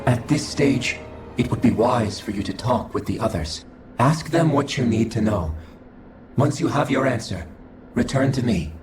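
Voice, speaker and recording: A man's voice: medium pitch, lively intonation, close to an everyday voice. Man voicing a detached alien scholar, echoing. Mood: calm, formal. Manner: formal, measured, clinical. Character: detached alien scholar, coldly logical, formal. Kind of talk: video game cutscene acting. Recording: echoing voice over game music.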